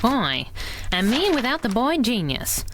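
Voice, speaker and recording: Brash, animated wisecracking masked heroine. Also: female voice, fairly high pitch, unhurried, a little hiss or hum. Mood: wry, teasing. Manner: sardonic, slangy banter. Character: wisecracking, brash young female masked crime fighter. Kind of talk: 1930s-style radio drama acting.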